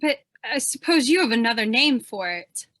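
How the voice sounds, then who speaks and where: A woman's voice: fairly high pitch, lively intonation, moderate pace, a bit bright, no echo. A woman playing a perky, sarcastic news photographer who trades wisecracks in an old time radio drama. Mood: skeptical, teasing.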